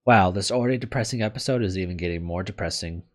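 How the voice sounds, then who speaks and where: A man's voice: low voice, quick pace. A man doing a casual playthrough, reading a game aloud and adding side comments, with game music behind him. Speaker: let's player. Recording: background music under the voice. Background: game music with guitar.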